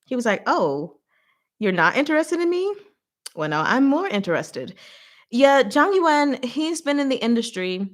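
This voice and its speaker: Chatty, expressive woman hosting a book recap. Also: high-pitched, a little slow. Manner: chatty, expressive, giggly, slangy. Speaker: host of a live book recap and discussion. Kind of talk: casual fan commentary to a live audience.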